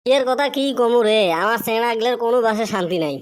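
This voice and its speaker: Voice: mid-range voice, rapid-fire, clear, resonant tone, natural voice. A performer voicing a cheeky, complaining village youth in a comic cartoon skit. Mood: grumbling.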